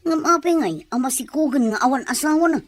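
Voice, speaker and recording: A woman's voice: high voice, fast, dry recording, lightly acted. A woman playing a blunt, motherly older village woman in a serial drama. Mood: inquiring. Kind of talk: Ilocano radio-style serial drama acting.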